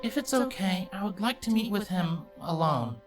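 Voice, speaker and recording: A man voicing a young female unicorn princess, a nervous and earnest little sister with a soft, hesitant way of talking, in a storybook reading. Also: sits high, very animated, moderate pace, pitched above the performer's own voice. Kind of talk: audiobook character voice in fan fiction. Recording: music playing behind the voice.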